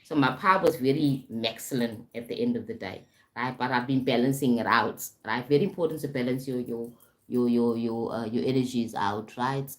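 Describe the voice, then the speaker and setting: A woman with a remarkably deep, low voice hosts a casual spiritual live stream. She talks loosely and keeps checking in with her viewers, repeating words as she goes. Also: normal speed. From South Africa. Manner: repetitive, frequent "right?" check-ins. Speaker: livestream host, spiritual coach.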